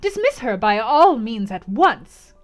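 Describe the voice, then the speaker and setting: A woman's voice: on the high side, big pitch swings, slow, soft background music. A woman voicing a proud, fussy older aristocratic mother who scolds and pleads. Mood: indignant.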